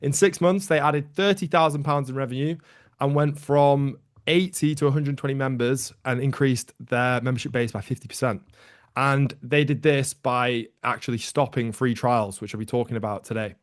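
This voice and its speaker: A man with a Lancashire accent presents with upbeat, sales-pitch energy, his voice sitting in an ordinary middle register. He speaks over a video call through a computer mic. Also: fairly even intonation, normal speed. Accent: Northern English, Lancashire. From Lancaster, Northwest England. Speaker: guest presenter, gym owner. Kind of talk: webinar presentation.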